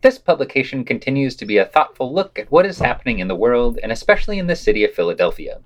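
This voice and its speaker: Male podcast host, lively melodic range, scripted reading of summaries and quotations. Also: mid-range voice, fast talker. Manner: reads quotations aloud, announcing quote and unquote. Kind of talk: scripted narration of news summaries and quoted articles.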